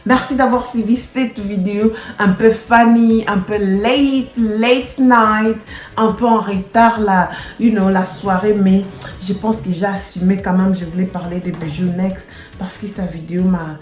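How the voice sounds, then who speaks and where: A woman's voice: mid-range voice, level tone, a little slow, a little mellow. A woman with a Congolese French accent addressing her subscribers in a casual vlog, emphatic, repetitive and playfully boastful. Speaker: YouTuber. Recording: echoey room with music behind. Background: music.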